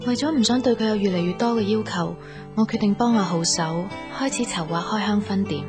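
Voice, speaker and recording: A woman's voice: medium pitch, a little fast, lightly acted. Young female lead played by an actress, radio drama, insecure and sensitive, middle register, music underneath. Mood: pensive. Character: young woman back from America, sensitive, insecure girlfriend. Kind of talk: Cantonese radio drama acting with inner monologue.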